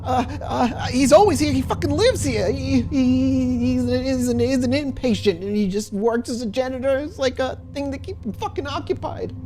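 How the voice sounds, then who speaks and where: A man's voice: very high voice, normal speed, hardly any pauses, dark tone, voice pushed up. A man voicing a frightened, whimpering male hospital worker who stammers, pleads and swears. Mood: desperate, pained.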